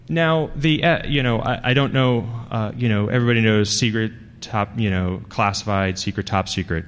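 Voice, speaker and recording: A man presents technical material to a conference audience in a halting, conversational way. His mid-pitched voice rambles informally from point to point, carried by the long reverb of a big hall. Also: a bit bright. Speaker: security researcher giving a conference talk. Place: large conference hall, speaking to a live audience.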